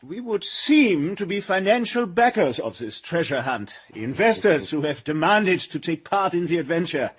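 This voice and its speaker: A man's voice: high-pitched, very animated, normal speed, few pauses, breathy edge, exaggerated voice. A man acting in a radio drama as a deferential physics professor among criminals, hesitant.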